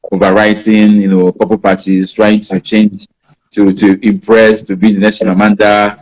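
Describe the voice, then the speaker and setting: A Nigerian man in his fifties, a native Igbo speaker, answers interview questions about writing in a rambling way, filling pauses with "you know". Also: on the low side, little pitch movement, darkish tone, rough recording. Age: 50s. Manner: rambling, many "you know" fillers and restarts. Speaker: writer being interviewed.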